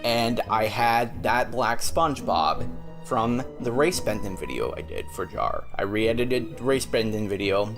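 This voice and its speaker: A man's voice: lowish voice, animated, measured pace, music in the background. A man giving a casual, plain-spoken explanatory statement, swearing now and then. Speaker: video editor.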